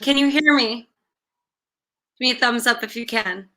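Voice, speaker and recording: A woman's voice: fairly high pitch, normal speed, fairly bright tone. A woman who co-founded a theater, introducing herself on an online panel in a breezy, casual manner. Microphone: video call.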